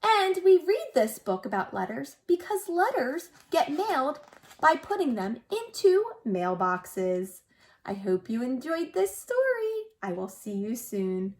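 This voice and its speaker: A woman's voice: sits high, very animated, measured pace. A woman preschool teacher speaking to young children for a story read-aloud.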